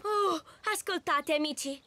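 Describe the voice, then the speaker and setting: A woman voicing a confident young male leopard cub in a cartoon, childlike, earnest and quick to take charge. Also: sits high, pushed and bright, no room sound, full character voice. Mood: upbeat.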